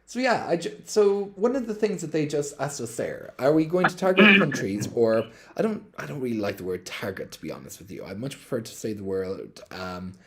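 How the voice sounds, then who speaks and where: Animated man answering questions. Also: medium pitch, fairly quick, few pauses, a little hiss or hum. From Ireland. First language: Irish. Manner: animated, emphatic, explains at length. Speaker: company representative answering questions. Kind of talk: livestream AMA.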